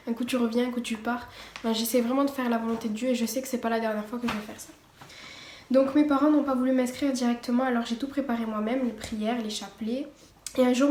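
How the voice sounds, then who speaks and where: A woman's voice: on the high side, darkish tone. A teenage girl giving a casual personal testimony, partly read from notes, hesitant with many fillers and restarts. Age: teens. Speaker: vlogger. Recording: echoey room, faint background noise.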